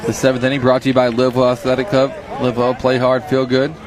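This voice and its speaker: A man doing play-by-play baseball radio commentary, excited on big plays and chatty between pitches, his voice a little strained. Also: fast. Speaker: play-by-play announcer. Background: crowd.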